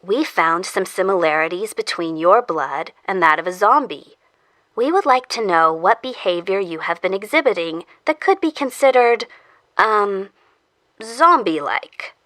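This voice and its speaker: A woman's voice: mid-range voice, lively intonation, unhurried, sharp tone, no room sound. A woman playing a polite scientist who explains things clinically in an audio drama. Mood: polite, careful. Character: polite female scientist researching a zombie immunity.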